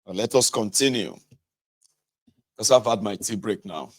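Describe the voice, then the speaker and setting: A man's voice: on the low side, expressive, unhurried. A man with a Nigerian accent reading a book aloud and adding conversational asides, speaking directly to listeners and sometimes correcting himself. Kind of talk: read-aloud narration with casual asides.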